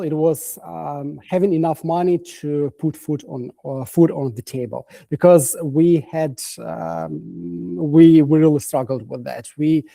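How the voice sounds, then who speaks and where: A man's voice: on the high side, creaky, mellow, no echo. A young man from Ukraine with a Ukrainian accent, talking as a guest on a video call and stopping often to search for words. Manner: halting, many fillers and restarts. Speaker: guest coach. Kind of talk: casual interview chat.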